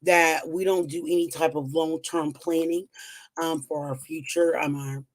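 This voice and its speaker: A woman's voice: low voice, fairly even intonation, unhurried. A young woman with a strained, recovering voice, chatting casually as she teaches personal finance at a whiteboard, with lots of "um"s and upbeat encouragement. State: voice strained after losing it. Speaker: financial educator hosting a weekly livestream.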